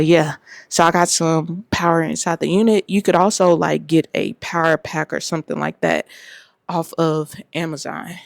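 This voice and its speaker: A young woman with a low, gravelly voice, getting over a cold, walks the viewer through her storage unit in a loose, casual vlog style. She talks in an easygoing way, full of "like"s. The sound is dry and close, with little room echo. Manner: relaxed, filler-heavy, with many "like"s. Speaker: clothing brand owner. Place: small metal storage unit, indoors.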